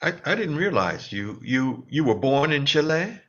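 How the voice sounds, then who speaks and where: A man hosting an interview who talks haltingly and repeats words as he starts a sentence. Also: a little high, sing-song, fairly bright tone, lo-fi sound. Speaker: interview host. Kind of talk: casual interview.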